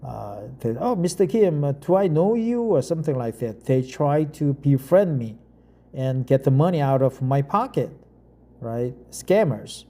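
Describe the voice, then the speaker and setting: Korean guy, non-native english, slow and halting with lots of uh's, very dark mellow tone. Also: medium pitch, expressive. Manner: halting, many "uh" fillers and restarts. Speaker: channel host reading articles aloud. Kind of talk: casual monologue and article reading.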